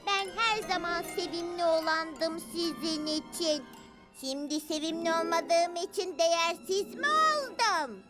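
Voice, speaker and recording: A woman voicing a melodramatic little cartoon girl, sweet by nature, who plays at being a dark villainess. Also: very high-pitched, unhurried. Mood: distraught, wounded. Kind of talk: dubbed cartoon voice acting. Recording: loud music under the voice.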